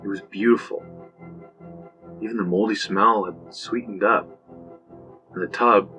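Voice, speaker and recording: Haunted young narrator for horror audio drama. Low-pitched, brooding, confessional; music underneath. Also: male voice, fairly even intonation, measured pace, sharp tone, low-quality recording. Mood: entranced, hushed. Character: haunted young man recounting an eerie ordeal.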